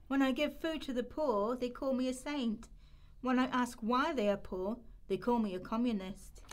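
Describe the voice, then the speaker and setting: Woman as a high-pitched, solemn reciter. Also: normal speed, close to an everyday voice. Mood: emphatic. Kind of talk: dramatic verse and quotation recital, radio play.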